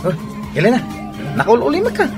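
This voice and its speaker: A coaxing man who plies a woman with drink in an Ilocano radio drama , played by an actor. His voice is high and animated, with music underneath. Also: brisk, full character voice.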